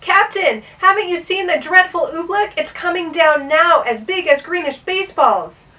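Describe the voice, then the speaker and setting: Woman playing an urgent, high-pitched young boy hero. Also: lively intonation, moderate pace, sharp tone, recorded from a distance. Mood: pleading, alarmed. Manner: breathless, urgent exclaiming. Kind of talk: animated storybook character voice.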